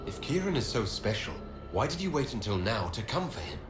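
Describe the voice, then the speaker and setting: A man's voice: medium pitch, very animated, a little fast, natural voice. A man playing an earnest, questioning heroic leader in a video game, speaking in a formal, probing manner. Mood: puzzled. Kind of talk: dramatic video game acting. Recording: roomy reverb with music behind.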